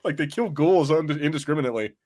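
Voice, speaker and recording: A man's voice: on the high side, quick pace, darkish tone. A man co-hosting a casual gaming podcast, rambling with lots of restarts and fillers and laughing often. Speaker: podcast co-host.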